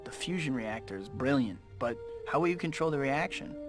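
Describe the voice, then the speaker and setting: A man's voice: mid-range voice, expressive, brisk, music in the background, natural voice. A young man playing an earnest, slightly awkward, wisecracking superhero in a video game, speaking casually. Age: young adult.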